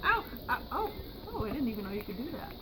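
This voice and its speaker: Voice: mid-range voice, sing-song, fast. A playful, giggly puppeteer improvising a puppet show with bits of singing, in a noisy home recording.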